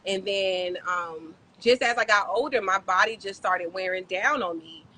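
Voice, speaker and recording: Bright-voiced woman, chatty storyteller. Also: medium pitch, measured pace, a little hiss or hum, rough recording. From Bahamas, raised in South Florida. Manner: warm, chatty storytelling with frequent "um" and "like". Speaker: podcast guest, hairstylist. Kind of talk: casual interview chat.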